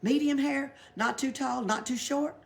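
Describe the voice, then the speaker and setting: A woman's voice: high-pitched, steady tone, unhurried, gravelly, voice pushed up. A woman voicing a cheeky, exuberant young girl who tells tall tales in a children's storybook, gleeful, emphatic and often giggly. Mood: teasing, mischievous. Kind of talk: children's storybook read aloud.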